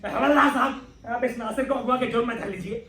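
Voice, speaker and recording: A young man playing a miserly, suspicious father in a theatrical stage comedy, loud, accusing and exasperated. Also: very high voice, steady tone, fairly quick. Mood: outraged. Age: young adult. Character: miserly, irate, suspicious father obsessed with his money. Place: indoor stage, recorded from the audience. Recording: echoey hall, far-off mic, noisy.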